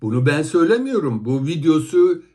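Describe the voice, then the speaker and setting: Öfkeli, azarlayan adam. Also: male voice, on the high side, big pitch swings, brisk, warm tone. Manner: indignant, scolding, rhetorical questions. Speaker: commentator on religious videos. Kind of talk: heated critical commentary.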